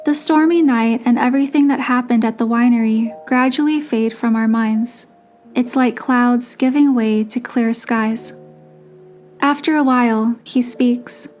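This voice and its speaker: A woman's voice: on the high side, fairly even intonation, a little slow, darkish tone. A woman reading scripted, dramatic story narration. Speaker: narrator and voiced player character of a game story. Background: music behind the voice.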